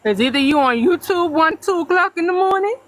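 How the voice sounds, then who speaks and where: Relaxed South Carolina woman. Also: extremely high pitch, brisk, a little hiss or hum. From Charleston, South Carolina. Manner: relaxed and teasing. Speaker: caller, single mother. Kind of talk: casual chat.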